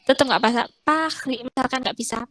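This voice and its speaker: A woman's voice: high voice, fast, fairly bright tone, a little hiss or hum. A woman, teaching casually and reassuring students in a rambling way. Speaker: French teacher.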